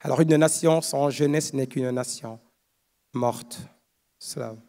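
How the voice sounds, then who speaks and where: A man performing slam poetry on stage, declaiming aphorisms in a solemn, measured way that sinks low at the ends of phrases. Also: dark tone. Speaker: slam poet. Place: live competition stage.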